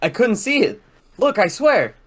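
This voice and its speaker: A man's voice: on the high side, sing-song, fairly quick. Worried, defensive young man read by a man. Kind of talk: amateur script reading.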